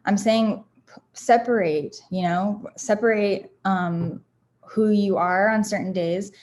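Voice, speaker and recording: A woman's voice: medium pitch, fairly even intonation, takes it slowly, darkish tone. A woman giving a casual motivational talk about affirmations, candid and rambling, with many fillers and restarts. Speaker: founder sharing self-help affirmations.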